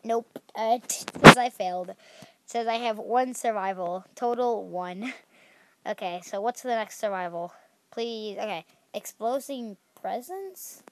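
Young woman w/ a stuffy nose, chatty excitable game commentary, higher voice, dry sound. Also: measured pace, no echo, low-quality recording. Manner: chatty, excitable, squeals at danger. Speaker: gaming channel host.

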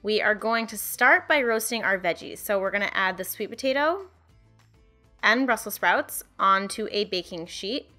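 A woman's voice: mid-range voice, animated, moderate pace, pushed and bright, faint music behind. A young woman in her twenties, a cooking channel host talking viewers through recipes in a friendly, chatty way from her home kitchen. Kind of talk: upbeat recipe walkthrough.

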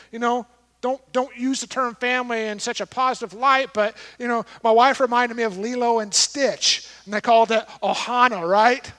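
High-voiced man preaching. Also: a bit bright. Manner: conversational preaching, asks the congregation questions. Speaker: pastor. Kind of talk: sermon. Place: large church stage with an audience.

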